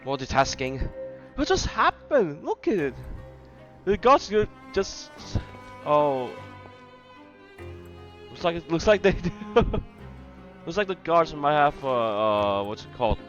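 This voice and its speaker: A man's voice: fairly high pitch, sing-song, slow, long pauses, fairly bright tone. A man giving casual live gameplay commentary, often searching for words and repeating himself. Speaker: game streamer. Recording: slightly dull, lo-fi microphone. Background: game music.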